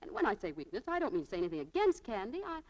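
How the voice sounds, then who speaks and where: A woman's voice: mid-range voice, wildly swooping pitch, rapid-fire, a little mellow. A woman playing a chatty, spirited young saleswoman in a 1940s radio drama. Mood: eager, persuasive. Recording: old 1940s radio broadcast.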